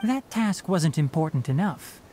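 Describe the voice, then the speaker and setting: A man playing a calm, practical young forest ranger in a video game, explaining things in a measured, articulate way. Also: on the high side, a little hiss or hum. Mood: explanatory. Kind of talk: scripted video game voice acting.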